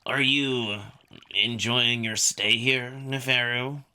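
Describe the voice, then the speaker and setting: A man's voice: medium pitch, fairly even intonation, slow pace, sharp tone, heavily acted. A man voicing a haughty, irritable alien wolf prince in a visual novel, curt and dismissive. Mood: stiffly polite.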